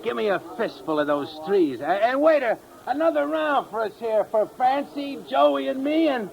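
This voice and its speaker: An actor playing a drunken, boisterous, big-spending sailor in an old-time radio drama, talking in rowdy, chummy, repetitive bursts. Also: male voice, extremely high pitch, expressive, moderate pace, vocal fry, exaggerated voice, low-quality recording. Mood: boozy, chummy. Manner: rowdy, repetitive, tipsy, backslapping talk. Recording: old radio recording, bar crowd behind.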